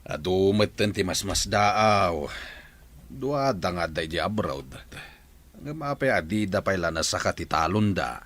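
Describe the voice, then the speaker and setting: A man's voice: low-pitched, sing-song, unhurried, a bit bright, heavily acted. A man playing a concerned village man in a radio drama about family troubles. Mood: inquisitive. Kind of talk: Ilocano radio drama acting.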